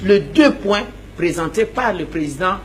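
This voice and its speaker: A woman's voice: on the low side, animated, measured pace, slight background noise. A woman, an opposition politician answering interview questions emphatically, repeating herself and stammering while she searches for words. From Democratic Republic of the Congo.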